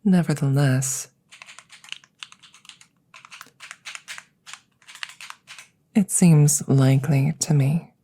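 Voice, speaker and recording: Woman playing a hushed, slow-talking alien examiner. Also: deep voice, slight background noise. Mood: matter-of-fact, curious. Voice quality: soft, breathy, often whispered. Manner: formal, clinical, precise, measured phrasing. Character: formal, curious female alien examiner interviewing a rescued creature. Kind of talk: ASMR audio roleplay.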